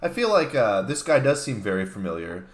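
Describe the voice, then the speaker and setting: A man's voice: mid-range voice, sing-song, a little fast. A man chatting casually as co-host of a talk show. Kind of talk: casual chat. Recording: roomy, echoing room sound.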